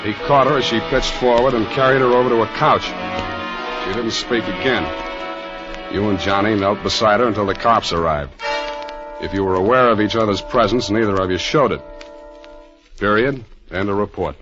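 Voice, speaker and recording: A low-pitched actor playing a hard-boiled American detective, cynical and unflappable. He talks in a clipped, wisecracking deadpan in the manner of 1940s radio drama. The sound is muffled and vintage, with faint music behind. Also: normal speed, long pauses, lightly acted. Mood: grim, deadpan.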